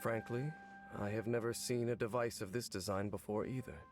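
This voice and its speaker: Game role: male actor as grave, stoic centuries-old cursed man; low, measured, formal delivery over prominent music. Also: steady tone, lightly acted. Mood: grave, calm. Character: weary, stoic, five-hundred-year-old cursed man. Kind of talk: scripted video game voice acting. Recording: game audio with music underneath.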